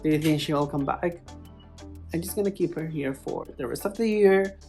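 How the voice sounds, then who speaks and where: Low-pitched woman with a foreign accent. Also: moderate pace, warm tone. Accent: foreign-accented English. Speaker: plant vlogger. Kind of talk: casual, chatty vlog talk. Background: music.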